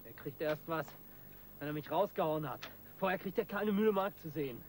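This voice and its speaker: An actor playing a gruff, vengeful bank robber on the run, cursing and slangy, in a radio crime drama. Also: male voice, fairly high pitch, expressive, a little fast, a little hiss or hum, close to an everyday voice. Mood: irritated.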